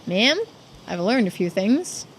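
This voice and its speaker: A woman's voice: mid-range voice, very animated, slow pace, slight background noise, rough recording. A woman voicing a dutiful police subordinate in a mystery game, formal, deferential and matter-of-fact. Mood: reporting.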